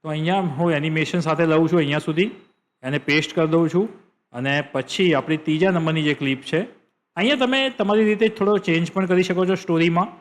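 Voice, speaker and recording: A man's voice: on the high side, brisk. A man with a Gujarati accent hosting a screen-share tutorial, explaining step by step and repeating phrases for emphasis. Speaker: tutorial host.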